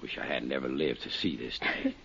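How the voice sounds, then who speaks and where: A man's voice: extremely low pitch, expressive, brisk, bright, forceful tone, slightly rough, exaggerated voice. An actor in a 1950s radio drama playing an older small-town sheriff, folksy and plainspoken, firm but fatherly and sorrowful. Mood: sorrowful, stern. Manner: folksy rural grammar, plainspoken. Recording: dull 1950s radio recording.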